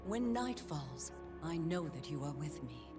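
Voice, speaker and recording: A woman's voice: low voice, big pitch swings, measured pace, recorded from a distance, over music. An actress playing a proud, devout sun warrior woman in a video game, earnest and stately as she declaims.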